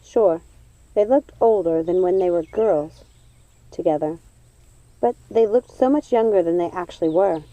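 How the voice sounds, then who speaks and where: A woman reading a storybook aloud as an expressive audiobook narrator. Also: lowish voice, unhurried, dry recording.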